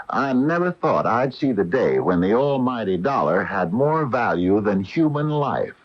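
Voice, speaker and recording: Deep-voiced indignant small-town man. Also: very animated, unhurried. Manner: emphatic, folksy phrasing. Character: indignant, plain-spoken small-town man, righteous and stubborn. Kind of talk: 1980s radio mystery drama acting. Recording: muffled, noisy 1980 AM radio broadcast.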